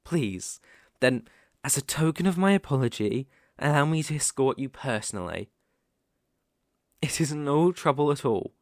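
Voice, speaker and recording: A man with a British accent playing a smooth-talking, sinister werewolf posing as a protective gentleman, speaking formally and measuredly in an ASMR roleplay. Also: medium pitch, lively intonation, no echo, clean studio sound, lightly acted. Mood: reassuring, persuasive. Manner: courtly, formal, measured, insinuating. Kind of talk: ASMR roleplay audio acting.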